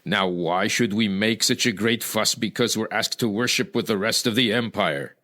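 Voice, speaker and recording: Smug high priest played by a man, low and bright, theatrical and dismissive, for radio drama. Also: moderate pace. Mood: scornful, emphatic. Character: smug pragmatic high priest favouring assimilation. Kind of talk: old-time radio style acting.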